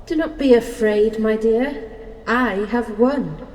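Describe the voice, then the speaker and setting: Imperious female spirit of Life, cool and stately, fairly high voice, slow morality-play declamation. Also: darkish tone. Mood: composed. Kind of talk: theatrical stage morality play acting. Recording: echoing hall, faint noise.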